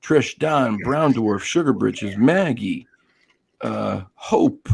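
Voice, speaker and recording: A hoarse man hosting a casual live stream, chatty and greeting viewers by name. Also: medium pitch, animated, takes it slowly, a little mellow. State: hoarse, losing his voice.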